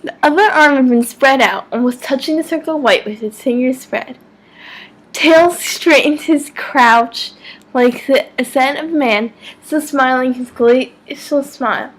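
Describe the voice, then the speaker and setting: A woman giving a mock-dramatic amateur story reading, theatrical and deliberate, now and then stumbling over words. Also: high voice, poor audio. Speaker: amateur reader of fan fiction.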